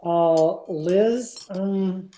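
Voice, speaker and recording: Man acting skeptical sitcom husband, dry and sardonic, unhurried, mellow, old-time radio comedy, echoey room. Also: high-pitched. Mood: wary, sardonic. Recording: roomy, echoing home recording with noise.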